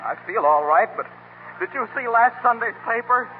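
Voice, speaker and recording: An actor playing an eager, earnest salesman with smooth patter in a 1930s radio comedy. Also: very high-pitched, animated, normal speed. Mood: earnest, fretful. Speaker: announcer pitching car polish. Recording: muffled 1930s radio broadcast, faint noise.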